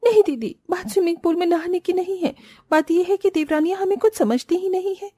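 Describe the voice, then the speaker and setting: A woman's voice: extremely high pitch, brisk, mellow, dry recording, clean studio sound, voice pushed up. A woman voicing a humble but proud poor village sister-in-law, plaintive and earnest, in a cartoon story. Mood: hurt.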